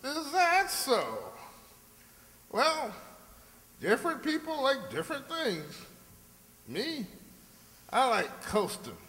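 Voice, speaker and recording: High-voiced, spry old codger. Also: male voice, sing-song, slow pace, pauses often, bright, forceful tone, exaggerated voice. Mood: cheeky, eager. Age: older. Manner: folksy, boastful, calls people "daughter". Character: spry, cheeky old man full of boyish bravado. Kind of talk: radio comedy acting. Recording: reverberant community stage hall.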